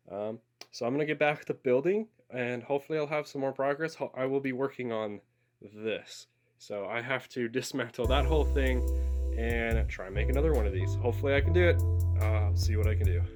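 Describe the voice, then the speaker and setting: A man's voice: mid-range voice, brisk, darkish tone. A young man with a congested voice, giving a casual, rambling update on a building project, often correcting himself and apologizing. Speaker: LEGO builder vlogger. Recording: guitar music underneath. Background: guitar music.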